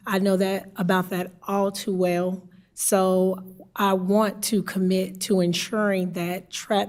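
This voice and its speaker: Slightly raspy American woman, flat intonation. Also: medium pitch. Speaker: town board commissioner. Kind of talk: public remarks at a town meeting.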